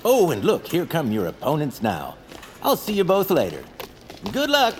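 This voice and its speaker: An actor playing a showy, jolly radio game show host in audio fiction. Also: male voice, fairly high pitch, huge pitch swings, normal speed, a little hiss or hum. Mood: jovial.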